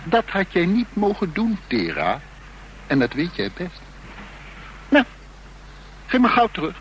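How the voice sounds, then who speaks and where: An actor playing a reckless, taunting young gang leader with a death wish in a Dutch radio drama, in a mocking, excitable manner. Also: male voice, high-pitched, a little slow, exaggerated voice. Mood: cold, bitter. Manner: mocking, excitable, breaking into laughter. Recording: noisy radio drama recording.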